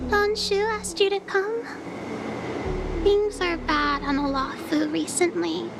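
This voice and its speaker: A frail little girl in a video game, apologetic and polite. Her voice is extremely high and unhurried, with music behind it. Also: fairly even intonation, creaky, exaggerated voice. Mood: meek, grateful. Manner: slow, soft-spoken, apologetic.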